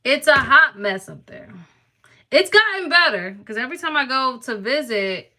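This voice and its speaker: A woman's voice: sits high, lively intonation, moderate pace, sharp tone. A woman from Harlem, chatting casually and teasingly with viewers on a live stream, laughing often. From New York (Harlem).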